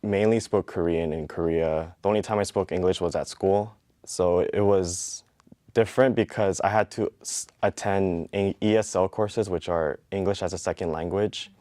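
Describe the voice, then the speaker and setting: A young man answering interview questions in a measured, reflective way. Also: low voice, warm tone, no echo, clean studio sound.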